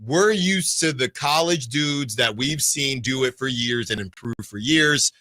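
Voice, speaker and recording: Man in his 40s, sports radio host, bright tone, dry studio sound, rambling and animated delivery. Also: medium pitch, a little slow, no echo. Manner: animated, rambling, lots of restarts. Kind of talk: sports talk radio discussion.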